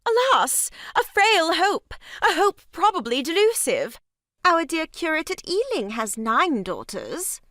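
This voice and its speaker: A woman's voice: extremely high pitch, big pitch swings, unhurried, a bit bright, no room sound, clean studio sound, exaggerated voice. A woman playing an insistent, theatrically pious lady in a stage farce, with a dramatic, mannered delivery. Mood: mock resigned.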